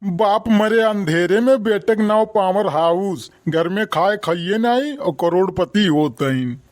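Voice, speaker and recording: Woman as older cartoon uncle, middling female-range pitch, Awadhi accent, sarcastic, grumbling, admonishing. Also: unhurried, a little mellow, natural voice. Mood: mocking. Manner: mocking, admonishing. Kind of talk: comic cartoon dialogue.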